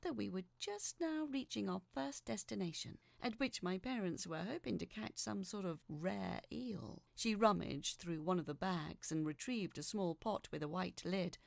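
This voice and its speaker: Woman storyteller, heavy vocal fry, very dry close sound, measured scripted narration, hushed phrase endings. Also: medium pitch, no room sound. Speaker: storyteller reading a letter aloud.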